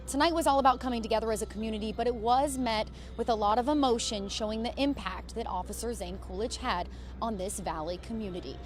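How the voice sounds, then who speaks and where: An American woman reports live for television news. Her voice is somewhat high, in the style of a field correspondent.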